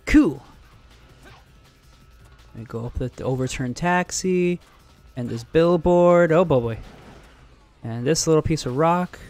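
A man streaming live and joking through a game, his voice cracking and going hoarse, on a headset mic. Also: on the high side, darkish tone. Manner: joking, sarcastic, quick asides, giggly. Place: home streaming room, indoors. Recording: game music in background.

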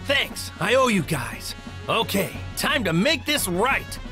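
A woman's voice: medium pitch, sing-song, takes it slowly, over music. A woman voicing an earnest, naive, boyish male martial arts hero in a video game. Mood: eager.

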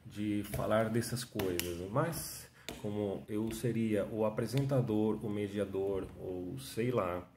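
Venezuelan-accented man, 30s, relaxed mellow tone, chatty self-interview vlog, echoey home room. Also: on the low side, slow, few pauses, slight background noise. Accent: Venezuelan Spanish. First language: Spanish. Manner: chatty, restarts often, frequent tag questions. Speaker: freelance artist telling his story. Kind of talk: casual self-interview monologue. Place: small room at home, indoors. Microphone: phone camera at arm's length.

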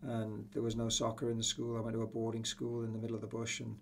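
A middle-aged English man talks casually as a podcast guest. His voice is gravelly and fairly even in pitch, with hesitant phrasing. A faint hum sits behind him in a small room. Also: fast talker. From Newcastle, England. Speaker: soccer coach, interview guest. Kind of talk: casual reminiscing in a podcast interview. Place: small indoor room with acoustic panels. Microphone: podcast boom microphone.

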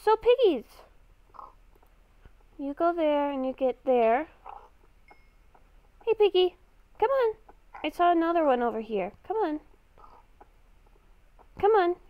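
A woman improvising in-character commentary for a game roleplay, speaking hesitantly and haltingly, with sarcastic asides. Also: very high-pitched, big pitch swings, dry recording, lo-fi sound. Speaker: gamer playing a roleplay series.

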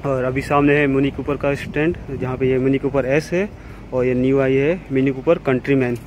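A man's voice: medium pitch, moderate pace. Man vlogging at a noisy outdoor expo. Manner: relaxed, plain commentary while walking. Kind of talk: casual walkaround narration. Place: outdoor car expo on a lawn. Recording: noisy outdoor phone recording.

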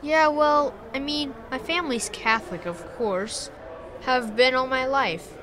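A man's voice: very high-pitched, measured pace, sharp tone, heavily acted. An actor playing an earnest, troubled young boy in an audio drama, speaking hesitantly.

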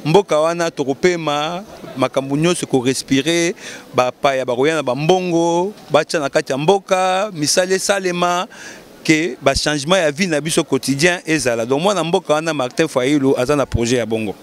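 A man's voice: fairly high pitch, normal speed. Earnest man rallying support outdoors. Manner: earnest, rallying, repeats key phrases. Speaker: local political organizer. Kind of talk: street interview. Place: outdoors at night in a lit pedestrian square. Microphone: handheld mic held by interviewer.

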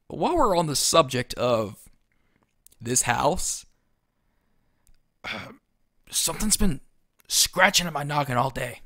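A man's voice: fairly high pitch, vocal fry, bright, forceful tone, dry recording, studio quality, exaggerated voice. A man playing an awkward, crude teenage boy in an audio drama, rambling and hesitant. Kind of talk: podcast audio drama acting.

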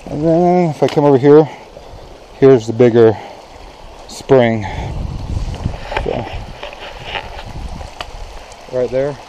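A man's voice: mid-range voice, slow, long pauses, highs cut off. A man in his thirties casually talking to viewers while hiking a rocky canyon creek bed, filming himself with a handheld action camera. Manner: relaxed, chatty, addresses viewers directly. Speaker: outdoor vlogger. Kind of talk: casual walking commentary to camera. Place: outdoors in a rocky mountain creek bed by flowing springs. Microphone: action camera held at arm's length. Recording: outdoor camera audio with clear stream and wind noise. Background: running water and wind.

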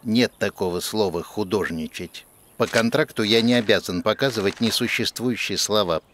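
A man voicing a gloomy, fussy chameleon actor in a children's cartoon, grumbling in a deadpan way. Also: on the low side, normal speed, a little hiss or hum, natural voice. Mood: glum, grumbling. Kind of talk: animated children's show acting.

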